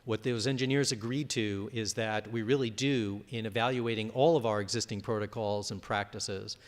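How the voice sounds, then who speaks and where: A man's voice: fairly low pitch, fairly even intonation, moderate pace. A man giving a conference presentation and fielding audience questions. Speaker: conference speaker. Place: large conference room. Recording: reverberant hall.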